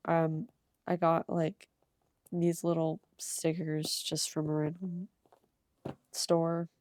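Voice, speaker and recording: Young woman, slightly hoarse from a cold, low-ish mellow voice, casual rambling talk. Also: flat delivery, takes it slowly. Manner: casual and rambling, with frequent ums and soft laughs. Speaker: art channel creator. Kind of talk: casual sketchbook tour voice-over.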